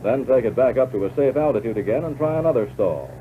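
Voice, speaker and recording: An American man reading scripted instructional narration for a 1940s military training film in a measured, instructive way. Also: mid-range voice, lively intonation. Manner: measured, instructive, addressing the listener as pilot. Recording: tinny band-limited 1940s film soundtrack, loud noise.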